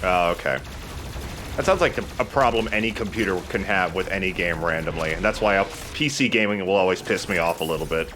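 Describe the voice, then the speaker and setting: A man's voice: sits low, a little fast. A man giving casual, conversational gaming commentary as a let's play host, swearing now and then. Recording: faint background noise.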